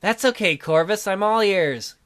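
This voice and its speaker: Man as a weary, sorrowful noblewoman, high voice. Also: measured pace, fairly bright tone, clear, resonant tone. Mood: confessing. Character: weary, sorrowful young noblewoman, regretful ruler.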